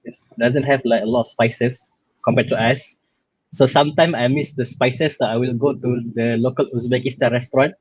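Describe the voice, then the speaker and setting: A man's voice: medium pitch, steady tone, moderate pace, breathy edge, no echo. A young Malaysian-accented man informally presenting his own experience as a guest, in a hesitant way with frequent fillers. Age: young adult. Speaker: guest speaker, exchange student alumnus. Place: video call. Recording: slightly dull, lo-fi online-call audio.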